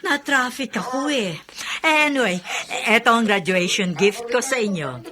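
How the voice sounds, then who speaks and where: A woman's voice: on the high side, expressive, unhurried, a crowd in the background. An actress playing a kind, teasing, motherly middle-aged woman in a radio drama. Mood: generous. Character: kindly motherly older woman, generous.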